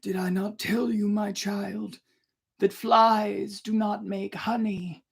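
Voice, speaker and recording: A woman voicing a weary, disapproving old emperor and father in a fairy tale, grave and sighing, with drawn-out words. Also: medium pitch, little pitch movement, gravelly, breathy edge, pitched above the performer's own voice, exaggerated voice. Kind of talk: fairy-tale storybook character.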